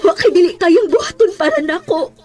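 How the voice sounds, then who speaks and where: Anguished single mother, very high voice, pleading, emotional radio drama, music underneath. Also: lively intonation, brisk, a little mellow. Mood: tearful, anguished. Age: adult, mother of a school-age child. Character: anguished single mother hiding a painful past. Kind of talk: radio drama acting in Bisaya with some English.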